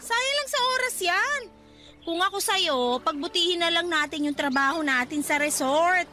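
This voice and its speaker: A woman's voice: very high-pitched, moderate pace, bright, forceful tone, faint music behind. An actress playing a practical, exasperated young Filipino woman scolding her cousin in a radio drama, with a colloquial Filipino accent. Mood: playful, practical.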